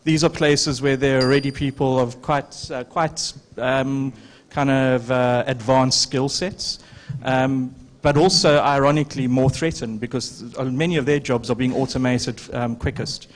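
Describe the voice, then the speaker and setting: A man speaks at a middle pitch as a conference panelist, laying out his points in a measured, explanatory way. He pauses often with fillers while he thinks. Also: steady tone. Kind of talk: panel discussion answer.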